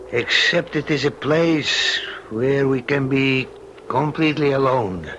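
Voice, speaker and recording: An actor playing a tormented older Spanish nobleman in an old radio drama, with a solemn, halting, melodramatic delivery. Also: mid-range voice, background noise. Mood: tender, solemn.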